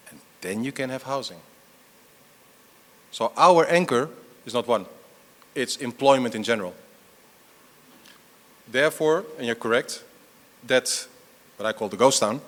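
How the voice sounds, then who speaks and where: A man's voice: mid-range voice, a bit bright. Middle-aged man answering in a reverberant hall. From half Dutch, half Portuguese. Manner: rambling, many fillers and restarts. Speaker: conference speaker. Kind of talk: conference Q&A answer.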